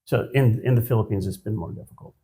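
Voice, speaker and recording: A man in his fifties, a guest on a business podcast, answering in a measured, reflective way with frequent pauses and restarts. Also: lowish voice, mellow. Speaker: guest, managing partner of an accounting firm. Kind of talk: conversational podcast interview.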